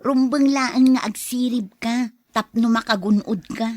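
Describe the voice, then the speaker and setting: A woman's voice: a little high, a little slow, no room sound. A woman playing a greedy, persuasive wife who eggs on her husband in a radio drama. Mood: plotting. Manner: insistent, persuasive.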